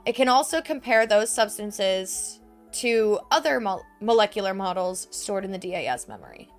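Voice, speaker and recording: A woman's voice: mid-range voice, lively intonation, moderate pace, soft background music. A woman giving casual gameplay commentary into a desk microphone at home, chatty and full of "um" and "like". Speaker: let's play gamer.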